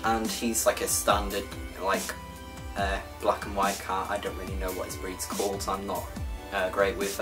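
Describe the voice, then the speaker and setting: A nineteen-year-old Welsh man chatting casually to his viewers, rambling and correcting himself with lots of fillers. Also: lowish voice. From Wales. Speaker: gaming YouTuber. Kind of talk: casual vlog talk to viewers.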